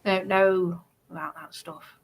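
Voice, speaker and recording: Slow-paced woman in casual conversation. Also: on the low side, steady tone, slightly rough.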